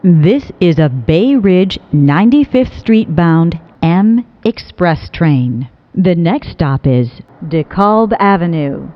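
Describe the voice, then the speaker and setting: A woman reading scripted automated subway announcements in a measured, clearly enunciated way, with phrases pieced together. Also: lowish voice, lively intonation, no echo. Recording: dull lo-fi recording.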